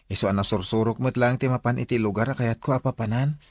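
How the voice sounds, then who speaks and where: A man's voice: mid-range voice, steady tone, fast talker, a little mellow, no room sound, lightly acted. A man playing an earnest teenage schoolboy in a Filipino radio drama, with a Filipino accent. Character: Lindon, earnest teenage blind schoolboy singer.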